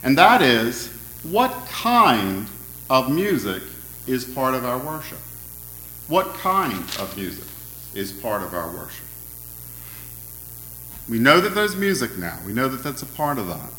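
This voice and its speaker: A man preaching an interactive sermon, asking his congregation questions in a loud, friendly voice. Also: mid-range voice, sing-song, a little slow, long pauses, echoey room, poor audio. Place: church hall.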